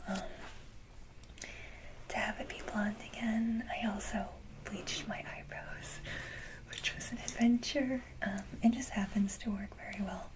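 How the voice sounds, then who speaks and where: A woman whispering breathily in a casual ASMR style, speaking to viewers in a gentle, halting manner. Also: mid-range voice. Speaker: ASMR video creator. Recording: clearly noisy, hissy background.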